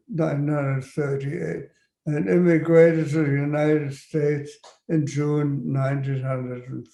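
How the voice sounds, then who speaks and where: A man's voice: on the high side, gravelly, mellow. A man in his nineties from Germany, giving a short personal testimony in an echoey room. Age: 90s. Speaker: Holocaust survivor giving testimony.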